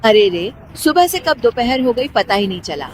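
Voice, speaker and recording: A woman voicing a dutiful, put-upon young daughter-in-law, polite but quietly exasperated, in a cartoon story. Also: a little high, very animated, moderate pace, slight background noise. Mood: worried.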